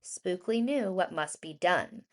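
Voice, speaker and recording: A woman reading a rhyming children's story aloud in an expressive, deliberate storytelling manner with emphasized words. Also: on the low side. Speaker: children's storybook reader, educator.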